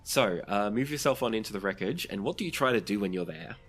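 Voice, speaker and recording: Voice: sits low, lively intonation, fast, fairly bright tone, slightly rough. A game master running a tabletop role-playing game, narrating scenes and calling for rolls in a conversational way.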